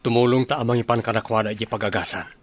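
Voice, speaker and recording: A man's voice: mid-range voice, fairly even intonation, racing, close to an everyday voice. A man playing an earnest, concerned wartime medical helper with an Ilocano accent in a radio drama. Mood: urgent, concerned. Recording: faint background noise and ambience.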